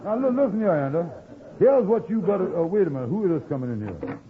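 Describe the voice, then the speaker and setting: An actor playing a blustering, folksy know-it-all schemer in an old radio comedy. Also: male voice, high voice, sing-song, fast talker, background noise. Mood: pompous, pondering. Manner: folksy, pompous, expansive. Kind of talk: 1950s radio comedy acting. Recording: tinny old radio recording.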